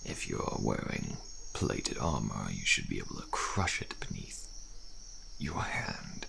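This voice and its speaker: An actor playing a wary, frail old deserter in a dark-fantasy ASMR roleplay, speaking slowly in archaic phrasing and often dropping to a murmur. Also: male voice, sing-song, background noise, exaggerated voice. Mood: patient, instructive. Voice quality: very deep, gravelly, breathy at times.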